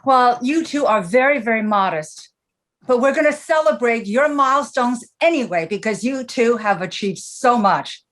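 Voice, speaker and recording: A woman's voice: fairly high pitch, expressive, normal speed, a bit bright. A woman moderating a virtual panel over a video call, upbeat and enthusiastic as she introduces speakers and asks questions.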